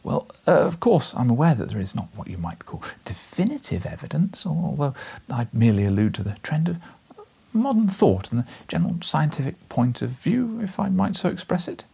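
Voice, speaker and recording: A man's voice: a little high, sing-song, normal speed, gravelly, dark tone, breathy edge, voice pushed up, heavily acted. A man voicing a humble, flustered young journalist in a storybook, stammering and over-eager to agree. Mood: nervous, bluffing. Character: meek flustered young male journalist bluffing politely.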